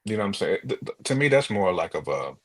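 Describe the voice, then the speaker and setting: An American man co-hosting a podcast in casual conversation, telling things in an animated, colloquial way with imagined quotes. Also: lowish voice, fast talker, breathy edge. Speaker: podcast co-host.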